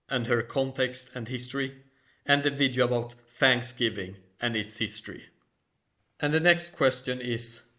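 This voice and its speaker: A man whose first language is Swedish, hosting a solo question-and-answer video in measured, formal phrasing. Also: mid-range voice, bright, forceful tone. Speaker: channel host. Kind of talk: solo Q&A monologue.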